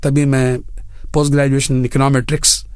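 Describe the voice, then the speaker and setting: A man in his fifties from India tells his own story as a studio interview guest, earnestly and in deliberate phrases with English words mixed in. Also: medium pitch, little pitch movement, a little mellow, clean tone, no echo. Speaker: interview guest, bank general manager. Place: radio studio.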